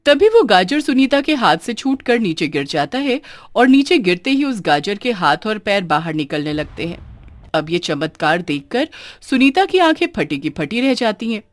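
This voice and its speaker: Animated woman storyteller, dry recording. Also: mid-range voice, normal speed, no echo, faint music behind. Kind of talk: scripted children's story narration.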